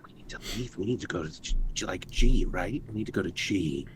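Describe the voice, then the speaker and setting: A man's voice: low voice, lively intonation, fairly quick, background noise. A man playing a tabletop game, chatting casually with a wry, dry sense of humour. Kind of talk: casual chat.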